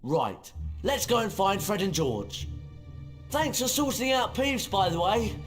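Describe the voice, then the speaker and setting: A high, lively English schoolboy wizard in a video game, cheeky and excitable. He talks bluntly, with loud music behind him. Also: unhurried, full character voice. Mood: eager. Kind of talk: video game character acting.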